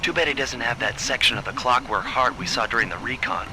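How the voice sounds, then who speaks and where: An actor playing an easygoing, quick-witted young thief in a video game, speaking casually with dry confidence. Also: male voice, mid-range voice, noisy background, natural voice. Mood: skeptical. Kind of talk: video game cutscene acting.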